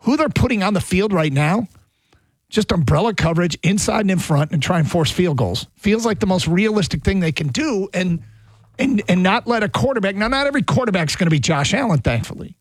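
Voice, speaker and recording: Husky, higher-voiced man on sports radio. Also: expressive, a little fast, dry recording. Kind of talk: casual sports talk.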